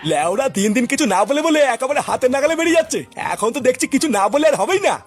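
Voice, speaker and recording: A man's voice: very high-pitched, expressive, rapid-fire. A man voicing a fussy, agitated older father figure in a comedy cartoon dub, fretting and scolding. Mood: grumbling. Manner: fretful, scolding.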